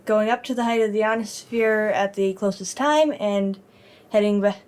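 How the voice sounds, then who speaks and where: A woman's voice: medium pitch, fairly even intonation, moderate pace, a little mellow, smooth. A woman co-presenting a casual demo, explaining things simply and informally.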